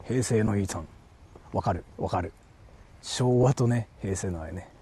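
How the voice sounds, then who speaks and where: A young man, walking around outdoors and chatting casually with livestream viewers, often speaking in a hushed, murmuring voice. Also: fairly low pitch, brisk, a little hiss or hum. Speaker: vlogger streaming while exploring. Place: outdoors walking through an empty housing estate.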